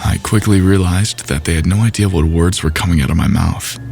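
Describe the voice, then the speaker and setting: A man reading a first-person paranormal story aloud in a measured storytelling way. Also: low voice, gravelly, music in the background. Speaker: story narrator. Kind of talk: scripted first-person narration.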